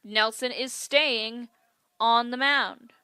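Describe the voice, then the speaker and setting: A woman's voice: on the high side, steady tone, takes it slowly, pushed and bright, dry recording. A woman giving live play-by-play commentary as a sports announcer. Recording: clear gymnasium and ballpark ambient noise.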